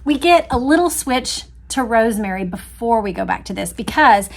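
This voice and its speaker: A woman hosting an expressive book read-aloud, with engaged casual asides addressed straight to her listeners. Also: fairly high pitch, moderate pace, gravelly. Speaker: host reading a book aloud with commentary.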